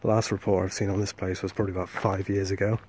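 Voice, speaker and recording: A man vlogging while exploring abandoned mill grounds at night, talking in a hushed, hesitant way with many fillers, recorded on a handheld camera outdoors. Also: deep voice, level tone, fast talker. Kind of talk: casual vlog narration. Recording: faint outdoor background noise.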